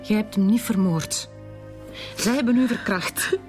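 An actress playing a bitter, protective schoolteacher of forty-three in a Flemish radio drama, speaking with halting emotion. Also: female voice, mid-range voice, over music, lightly acted. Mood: gentle, urgent. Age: forties. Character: bitter, protective 43-year-old schoolteacher confessing to killing. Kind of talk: naturalistic radio drama acting.

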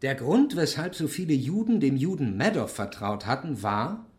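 An actor playing a self-assured, dominant intellectual in a radio drama, explaining insistently in a deliberate, lecturing way. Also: male voice, on the high side, big pitch swings, breathy edge. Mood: lecturing, emphatic. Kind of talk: German radio drama dialogue.

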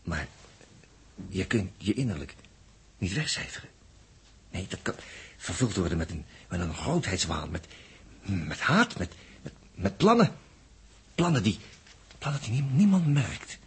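A man with a Netherlands Dutch accent playing a sly, needling, flirtatious space crewman in a 1960s radio drama, speaking haltingly and repeating words. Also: sits low, very animated, slight background noise. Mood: insinuating. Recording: muffled 1960s radio recording.

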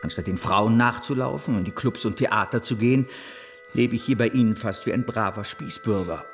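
Worldly businessman for period radio drama, played by an actor: lowish male voice, teasing, faint music behind. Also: normal speed, close to an everyday voice. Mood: playful, self-satisfied.

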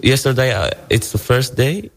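Young Syrian man, halting English. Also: medium pitch, very animated, vocal fry. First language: Arabic. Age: young adult. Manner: halting, searching for English words. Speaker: interview guest. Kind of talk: casual interview.

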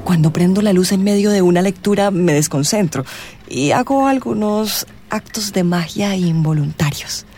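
A woman's voice: mid-range voice, animated, warm tone, natural voice. An actress playing an eccentric, gushing and flirtatious witchy astrologer in a radio drama, with dramatic, suspenseful phrasing. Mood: flustered, apologetic. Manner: dramatic, suspenseful drawn-out delivery with whispers. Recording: music playing behind the voice.

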